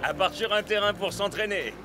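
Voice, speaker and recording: A man's voice: high-pitched, fairly quick, very noisy, lightly acted. A man voicing a talkative, incredulous young footballer in a cartoon, with an emphatic delivery. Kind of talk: cartoon dub acting.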